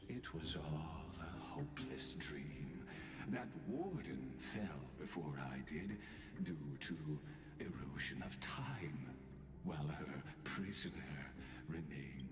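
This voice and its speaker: Very deep, grandiose captive villain. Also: male voice, expressive, full character voice. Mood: solemn, grandiose. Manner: deliberate, grandiloquent, philosophical. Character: imprisoned male villain, grandiose philosophical narrator awaiting his destroyer. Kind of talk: theatrical scripted game monologue. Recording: echoing voice over clear background music.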